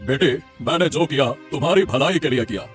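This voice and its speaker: A poor, resigned middle-aged father acted by a man in a mid-pitched voice. He pleads and soothes submissively, cartoon moral-story style with music behind. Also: fairly quick, lightly acted. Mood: resigned, pleading. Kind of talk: Hindi cartoon story dialogue.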